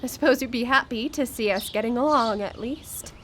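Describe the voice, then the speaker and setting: An actress as a grieving widow with a high voice, wounded and insistent. She speaks emotionally and urgently in a live radio play, with some background noise. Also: brisk, close to an everyday voice. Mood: hurt, exasperated. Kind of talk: live radio drama acting.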